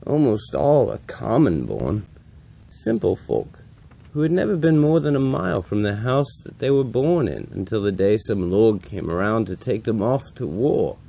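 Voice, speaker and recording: A man performing a grave, compassionate old wandering priest in a solemn, deliberate dramatic monologue with a gravelly voice. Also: fairly low pitch, very animated, warm tone, exaggerated voice. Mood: grave, measured. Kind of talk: dramatic audiobook-style monologue reading.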